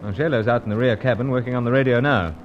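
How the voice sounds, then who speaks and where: An actor playing an unflappable, polite British secret agent with clipped, understated speech in an old-time radio serial. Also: male voice, mid-range voice, fast talker, natural voice. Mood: calm, understated. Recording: dull, noisy old radio serial recording.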